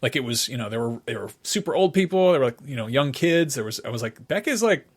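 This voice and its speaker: A man's voice: mid-range voice, animated, quick pace, slightly rough. A middle-aged man co-hosting a podcast, talking in an unscripted, casual way with a wry, irreverent streak. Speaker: podcast co-host.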